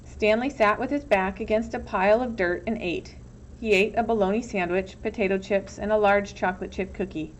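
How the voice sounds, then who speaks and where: A woman's voice: medium pitch, steady tone, fairly quick. A woman reading a children's novel aloud as storybook narration. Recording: dull, lo-fi with some background noise.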